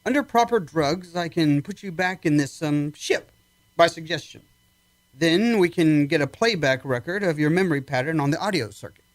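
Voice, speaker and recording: A man's voice: on the high side, expressive, moderate pace, no echo, natural voice, low-quality recording. A man acting in an old-time radio drama as a probing, authoritative army psychiatrist with a clipped, commanding manner. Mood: clinical.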